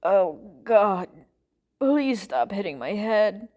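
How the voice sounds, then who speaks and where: A woman's voice: mid-range voice, animated, moderate pace, darkish tone, natural voice. A woman voicing a frightened but stubborn male captive in an audiobook story, pleading and nervously defiant.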